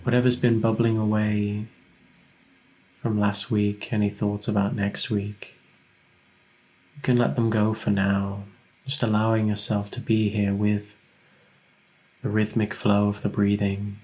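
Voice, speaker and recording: A man leading a guided meditation as a teacher, giving gentle, unhurried instructions with long pauses. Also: lowish voice, monotone, rough recording.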